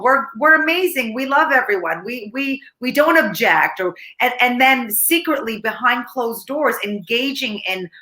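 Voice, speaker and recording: Bright animated woman film critic. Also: a little high, roomy sound. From Ukraine. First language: Ukrainian. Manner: animated, laughing, restarts often. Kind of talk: casual review chat.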